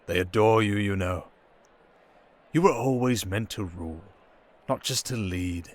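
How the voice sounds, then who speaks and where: A man playing a suave, cunning villain in an intimate ASMR roleplay, speaking in a silky, theatrical, teasing way with deliberate pauses. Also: on the low side, expressive. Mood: admiring, tender. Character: suave, cunning male criminal mastermind villain, seductive and teasing.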